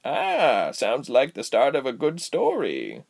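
A man voicing a cheerful, giggly elderly village healer woman in a storybook, with a slow, kindly teasing delivery. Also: medium pitch, huge pitch swings, creaky, pitched above the performer's own voice, exaggerated voice. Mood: kindly, amused. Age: older. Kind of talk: storybook character voice.

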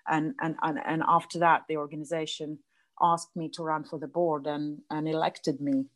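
Latvian woman, 30s, deep voice, halting interview guest. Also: little pitch movement. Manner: hesitant, many fillers and restarts, laughs often. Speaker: guest, association president. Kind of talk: casual interview.

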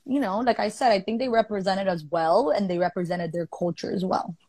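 A woman's voice: mid-range voice, fairly even intonation, a little fast, a little mellow, dry recording. A young woman from Colombia, speaking earnestly and thoughtfully in casual conversation, with many fillers. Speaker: guest.

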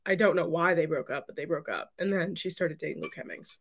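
A hoarse young woman co-hosting a podcast, chatting excitedly and rambling with lots of "like". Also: deep voice, brisk. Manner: excited, rambling with many likes. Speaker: podcast co-host. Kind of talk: casual chat.

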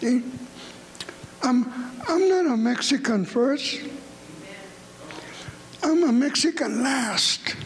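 A man with a very high voice preaches slowly and deliberately, hammering the same phrase again and again and climbing into shouts. His voice rings out with a long reverb in a big church hall. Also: animated. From United States. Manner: fervent, repetitive, rising to shouts. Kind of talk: shouted revival sermon.

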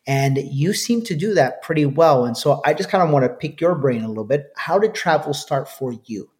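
A man's voice: on the high side, expressive, moderate pace. A man hosting a podcast interview, asking long, earnest, rambling questions. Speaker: podcast host.

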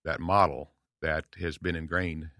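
A man's voice: very deep voice, steady tone, measured pace, dry recording, clean studio sound. A man in his late sixties, a guest on a podcast, talking earnestly and telling stories to encourage listeners. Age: 60s. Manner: earnest, storytelling, warm encouragement with acted quotes. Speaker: guest speaker. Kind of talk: casual podcast conversation.

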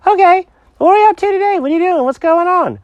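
A storyteller voicing an excitable, scatterbrained male pet cat who swoops through exclamations and questions. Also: very high-pitched, fast, no echo, lo-fi sound, voice pushed up. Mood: overexcited.

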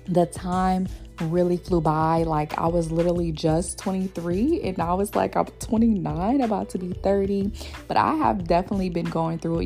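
A woman's voice: fairly low pitch, vocal fry, mellow. A woman, hosting her own podcast in a casual, chatty way and speaking straight to her listeners. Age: 20s. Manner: chatty, addresses listeners as y'all, many ums. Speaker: podcast host. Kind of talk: casual solo podcast chat.

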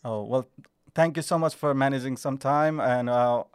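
A man hosting a podcast interview, introducing his guest in a hesitant way with many pauses. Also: mid-range voice, clean tone, dry recording. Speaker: podcast host.

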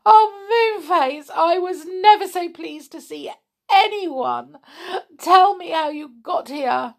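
A woman voicing a whiny, frightened little girl in a children's storybook, tearful and prone to shrill outbursts. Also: slow pace, heavily acted. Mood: relieved. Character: frightened, sulky, shrieking little girl on a ladder.

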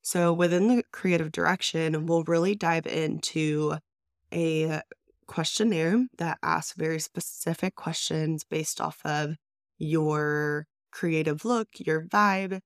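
A woman's voice: low-pitched, fairly even intonation, slow, dry recording, studio quality. A woman hosting her own business podcast, talking in an upbeat, chatty way. She says she is congested, and her voice sounds stuffy and nasal. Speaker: podcast host and brand designer. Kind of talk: solo business podcast talk.

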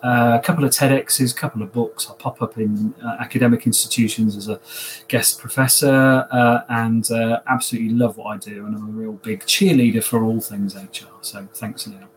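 A man's voice: mid-range voice, steady tone, moderate pace, slight background noise, rough recording. A man from England, a panel guest, introducing himself casually and upbeat, with many uhs.

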